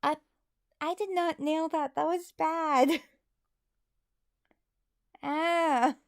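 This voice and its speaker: A woman's voice: high voice, fairly even intonation, very slow. A woman co-hosting a casual livestream and reading viewer messages in a self-deprecating, hesitant way. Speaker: livestream co-host and hobby voice actor.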